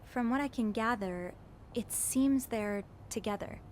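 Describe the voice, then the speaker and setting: An actress playing a young hotel maid turned amateur sleuth, speaking gently and hesitantly, stammering now and then. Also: female voice, a little high, measured pace, slight background noise, natural voice.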